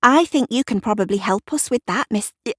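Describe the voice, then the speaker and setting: A woman acts out a flustered female police sergeant in an audio drama. She is apologetic and halting, tripping over her sentences and starting again. The sound is close and dry. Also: fairly high pitch, lively intonation, fairly bright tone, no echo, voice pushed up. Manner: halting, stammering, apologising and restarting.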